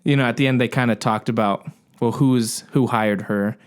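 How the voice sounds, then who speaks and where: Male podcast co-host, mid-pitched voice, informal discussion. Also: fairly even intonation, normal speed, clear, resonant tone. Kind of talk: casual discussion.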